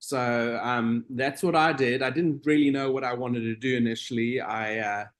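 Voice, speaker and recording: A middle-aged man from South Africa, a nurse being interviewed, talking in a relaxed, meandering way with frequent pauses. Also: medium pitch. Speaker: guest, operating room nurse. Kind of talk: casual interview conversation.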